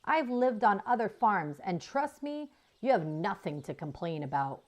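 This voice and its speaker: A woman's voice: medium pitch, sing-song, a little slow, a little mellow. A woman voicing a world-wise old female cow in a children's story, chiding and reflective. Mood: scolding.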